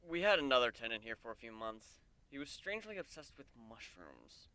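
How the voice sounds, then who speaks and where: A man acts out a wry, easygoing pony stallion from a fantasy story reading. He is gentle and understated, a little hesitant, in a clear mid-pitched voice recorded very dry. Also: very animated, moderate pace, no echo. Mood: wry, resigned.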